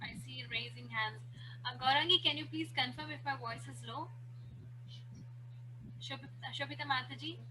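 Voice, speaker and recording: A woman teaching an online class over a video call, pausing often with fillers as she searches for words. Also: sits high, sharp tone, a little hiss or hum, low-quality recording.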